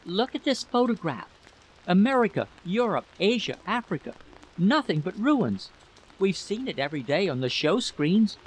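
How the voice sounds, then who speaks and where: A man's voice: high-pitched, sing-song, normal speed, slightly rough, pitched above the performer's own voice, full character voice. A man voicing an anxious, bitter wife in a story reading, pleading emphatically over a noisy recording. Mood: frightened, pleading. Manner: pleading, emphatic, repeating questions.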